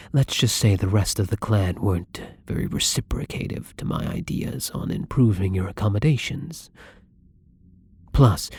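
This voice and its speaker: Husky, breathy man playing a gentle vampire. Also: fairly low pitch, no echo, studio quality. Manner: soft-spoken, tender, breathy. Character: gentle, caring young male vampire, tender captor. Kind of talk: intimate ASMR roleplay.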